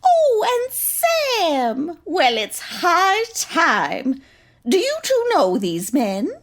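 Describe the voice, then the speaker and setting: A woman's voice: very high voice, huge pitch swings, very slow, exaggerated voice. A woman playing a cheerful, gullible grandmother in her seventies, sweet and obliging, in a comedy audio drama. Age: 70s as played.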